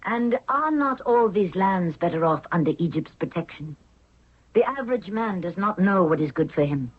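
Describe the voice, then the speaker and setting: A woman's voice: on the low side, animated, normal speed, husky. A woman playing a hard-willed, commanding Egyptian queen in a 1970s radio drama, with a firm, imperious delivery. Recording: tinny narrow-band old radio recording.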